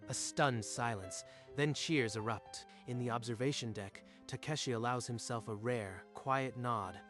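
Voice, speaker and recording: A man's voice: medium pitch, measured pace, a bit bright. A man giving scripted, dramatic narration for a manga dub. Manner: dramatic storytelling. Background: faint music.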